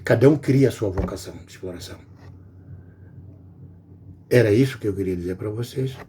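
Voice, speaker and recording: A man's voice: on the low side, fairly quick, warm tone, slight background noise. A middle-aged man from Guinea-Bissau with a Guinea-Bissau Portuguese accent, giving an earnest, informal monologue to viewers and pleading with frequent hesitations. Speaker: video blogger.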